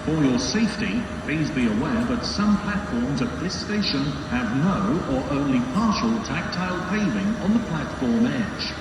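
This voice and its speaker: A man's voice: medium pitch, big pitch swings, normal speed, few pauses, recorded from a distance. Man announcing through noisy, echoing station speakers. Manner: formal, instructional. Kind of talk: scripted safety announcements. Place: train station, heard over public address. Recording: very noisy, echoey station PA from afar.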